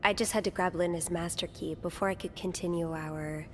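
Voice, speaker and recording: Casual young female maid for a mystery game, woman acting, inquisitive, naturalistic, in a reverberant space with faint rumble behind. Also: fairly even intonation, brisk, natural voice. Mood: casual, friendly. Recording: long hall reverb, faint rumbling noise.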